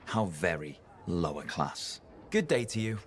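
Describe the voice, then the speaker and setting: An actor playing a haughty, entitled noble suitor in a video game, speaking with pompous disdain. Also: male voice, sits low, big pitch swings, unhurried, a little hiss or hum. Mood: snobbish. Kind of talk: video game dialogue acting.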